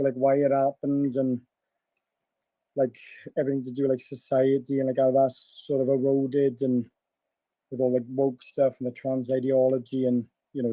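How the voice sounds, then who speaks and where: A man with a sore throat and a hoarse voice, rambling in casual chat and peppering his talk with filler words. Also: mid-range voice, mellow, dry recording, highs cut off. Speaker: caller in an online audio chat room.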